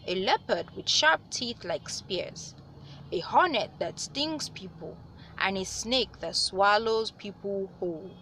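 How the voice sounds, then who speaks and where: A woman's voice: medium pitch, lively intonation, takes it slowly, pushed and bright, a little hiss or hum, rough recording. A woman playing a haughty, mocking male sky god in a storybook, speaking in a grand, dismissive way.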